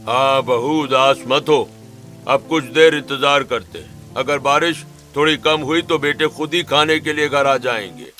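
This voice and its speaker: A bright, forceful-voiced rural family man from a cartoon, acted by a man. He is earnest and reassuring in his concern, with faint music behind him. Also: mid-range voice, unhurried. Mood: worried. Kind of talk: Hindi animated family story acting.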